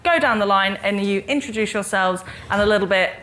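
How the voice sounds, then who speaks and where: A woman's voice: mid-range voice, brisk, a bit bright, slight background noise. A woman moderating a conference panel, guiding speakers with bubbly encouragement.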